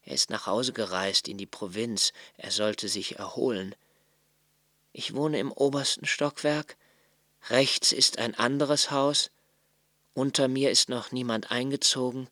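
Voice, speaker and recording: A brooding lodger delivers a literary radio monologue in a medium-pitched voice. He is obsessively observant and precise, weighing phrases and halting to correct himself. The sound is very dry, with no room around the voice. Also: pushed and bright, dry recording. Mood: quiet, pensive. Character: brooding, obsessively observant solitary male lodger, musing alone.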